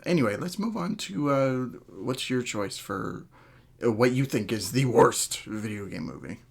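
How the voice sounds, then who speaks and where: A man's voice: medium pitch, animated, a little slow, gravelly. A man in a casual, opinionated podcast chat, sweary and jokingly exasperated, often laughing. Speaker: podcast host.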